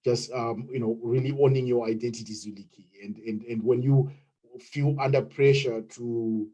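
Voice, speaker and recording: Zimbabwean man, dark husky voice. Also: mid-range voice, fairly even intonation, moderate pace. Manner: hesitant, many fillers and restarts. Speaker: webinar participant. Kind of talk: unscripted contribution in an online meeting. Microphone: video call.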